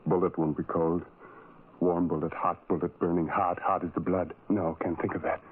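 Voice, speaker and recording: Over a tinny, narrow old radio recording comes a tormented, lonely soul. He broods in incantatory, repeated phrases, full of self-loathing, in the manner of a radio horror play. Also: sits low. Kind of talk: 1970s radio drama monologue.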